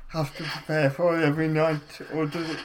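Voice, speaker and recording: A man with a fairly high voice leads a relaxed interview, asking reflective questions and often murmuring agreement. His talk is calm and considered. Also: moderate pace, a little hiss or hum, rough recording. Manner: thoughtful, reflective, warm affirmations. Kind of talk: casual interview.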